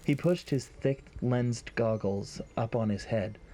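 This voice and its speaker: A man's voice: medium pitch, slow, warm tone. A man narrating a scripted fantasy story in an audio drama.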